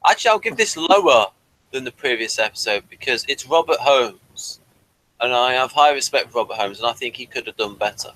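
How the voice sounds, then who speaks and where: Bright, lively-pitched man chatting about reviews. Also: a little fast, low-quality recording. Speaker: review show regular. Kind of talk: casual review chat.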